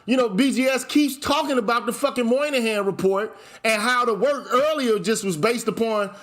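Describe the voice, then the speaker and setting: A man's voice: very high voice, moderate pace, fairly bright tone. A man hosting a heated panel debate, blunt and profane, hammering his points with numbers. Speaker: show host.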